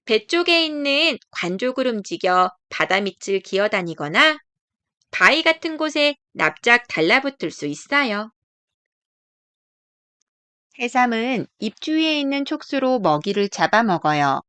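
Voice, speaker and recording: A woman's voice: a little high, big pitch swings, unhurried, sharp tone, clear, resonant tone, no echo. A woman narrating a scripted children's nature book in a careful, explanatory read-aloud way. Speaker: storybook narrator.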